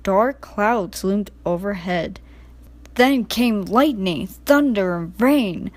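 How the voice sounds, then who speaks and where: A woman narrating a scripted children's storybook in an expressive reading style. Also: medium pitch, slow pace, no room sound.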